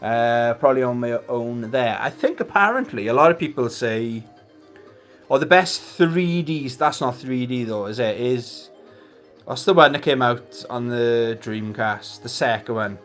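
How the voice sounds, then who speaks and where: A man's voice: medium pitch, expressive, a little slow, a bit bright, faint music behind. A man with a Welsh accent hosting a casual gaming live stream, chatting in a rambling, jokey way to his viewers. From Wales.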